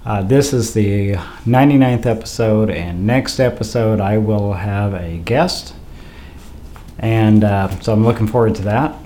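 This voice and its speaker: A man's voice: on the low side, unhurried, warm tone. A man hosting a faith podcast in a casual, hesitant monologue, sounding like he has a cold and is losing his voice. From Idaho, USA. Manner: hesitant, many uh fillers. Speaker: podcast host. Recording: faint wind noise behind.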